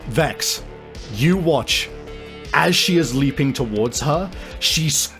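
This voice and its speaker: A man improvising tabletop game narration as game master, describing things vividly and dramatically in a halting way. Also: a little high.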